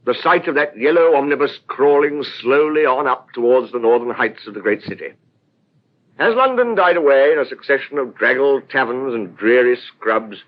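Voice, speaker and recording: A muffled old radio recording carries an actor as a male narrator. His medium-pitched delivery is formal and descriptive, with a wry literary turn of storytelling. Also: natural voice. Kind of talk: scripted dramatic storytelling, old-time radio.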